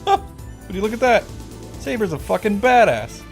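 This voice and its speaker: A man's voice: sits high, very animated, normal speed, gravelly. A man delivering a scripted comedic recap commentary in a wry, profane, mock-grandiose manner. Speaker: visual novel reactor and narrator. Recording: background music under the voice.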